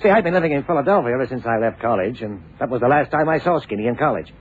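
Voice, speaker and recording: Man playing young lawyer guy, evasive n defensive, lively up and down voice, thin old radio sound. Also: medium pitch, very fast, phone-quality sound, close to an everyday voice. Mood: defensive, casual. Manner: hesitant, defensive, colloquial. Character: evasive, ambitious young American male lawyer. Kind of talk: radio drama acting. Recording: thin, noisy 1960s radio drama recording.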